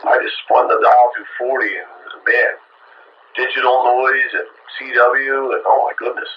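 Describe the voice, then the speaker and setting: A man's voice: medium pitch, steady tone, moderate pace. Man chatting over a dull, staticky radio. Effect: two-way radio. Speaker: amateur radio operator. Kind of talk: casual on-air radio chat. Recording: band-limited radio with faint static.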